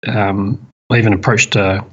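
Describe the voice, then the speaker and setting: A man's voice: lowish voice, little pitch movement, moderate pace, a bit bright. A man with a New Zealand accent chatting as an interview guest, hesitant and thoughtful, often repeating words. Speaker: podcast guest, permaculture designer. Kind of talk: casual interview chat. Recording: dull lo-fi audio.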